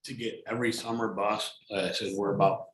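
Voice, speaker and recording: A man's voice: fairly low pitch, flat delivery, fairly quick, fairly bright tone. A man answering questions as a transportation staff member at a meeting, plain and matter-of-fact. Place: indoor board meeting room. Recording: echoey meeting room with faint noise.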